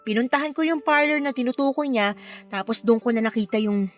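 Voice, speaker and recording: A woman's voice: a little high, brisk, fairly bright tone, faint music behind, lightly acted. A woman with a Filipino accent playing a hurt, plaintive young woman confiding about a betrayal in an audio drama. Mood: aggrieved recounting.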